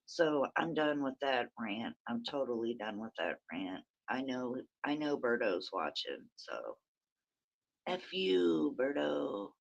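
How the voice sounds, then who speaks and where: A woman with a very raspy voice, hosting a rambling, chatty early-morning livestream from her phone and talking to viewers by name. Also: extremely low pitch, very animated, slow pace, muffled recording. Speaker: livestream host.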